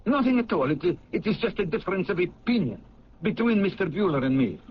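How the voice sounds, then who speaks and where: An actor playing an excitable, stubborn, secretive man from abroad, emphatic and formal, in 1970s radio drama style. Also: sits high, fairly quick, a little hiss or hum. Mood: defensive. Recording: dull old radio recording.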